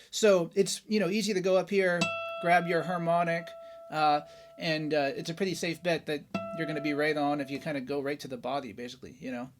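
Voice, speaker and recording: A man hosting a guitar show, talking casually and enthusiastically in a chatty, informal way about gear. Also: on the high side. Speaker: host of a guitar review show. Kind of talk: casual gear talk and demonstration.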